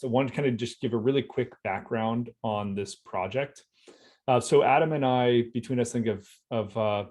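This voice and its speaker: A man's voice: medium pitch, darkish tone. A man presenting an online company update, explaining at length and often repeating words as he thinks. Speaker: co-founder presenting.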